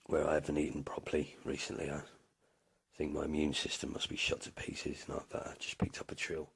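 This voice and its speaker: A man playing a weary survivor in an audio drama, speaking in a subdued, halting, gravelly way. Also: extremely low pitch, completely flat delivery, full character voice. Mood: ill, weary. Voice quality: gravelly, husky. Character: weary male English survivor Isaac keeping an apocalypse journal. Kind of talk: audio drama first-person journal narration.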